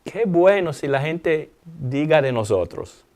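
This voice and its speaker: A man with an Alabama accent, a native English speaker, teaching a Bible lesson in Spanish with lively questions and playful sound effects. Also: a little high, slow pace. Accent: American English (Alabama). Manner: animated, asks questions, uses sound effects. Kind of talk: Bible study discussion.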